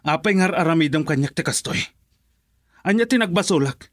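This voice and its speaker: A man's voice: fairly high pitch, animated, a little fast, dry recording, studio quality, natural voice. An actor playing a wronged, pleading man in a radio love drama, earnest and with a Filipino accent. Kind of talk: Ilocano radio drama acting.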